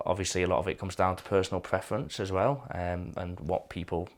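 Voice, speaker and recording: Lower-voiced Northern English man. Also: brisk. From northwest England. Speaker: guest guitarist and demonstrator. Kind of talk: casual explanatory chat.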